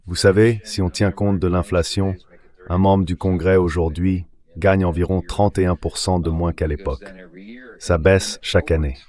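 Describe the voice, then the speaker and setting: A man's AI dubbing voice as a politician, giving measured, explanatory remarks that justify a position. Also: sits low, fairly even intonation, a little mellow. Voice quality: synthetic AI dubbing voice.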